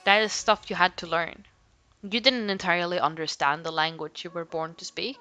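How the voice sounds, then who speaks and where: Bright-voiced young woman, rambling. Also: fairly low pitch, fairly even intonation, moderate pace. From Sweden. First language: Swedish. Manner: rambling, frequent "like" fillers, self-laughing asides. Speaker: art vlogger. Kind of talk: casual talk to camera. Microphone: home microphone. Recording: music playing under the voice. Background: background music.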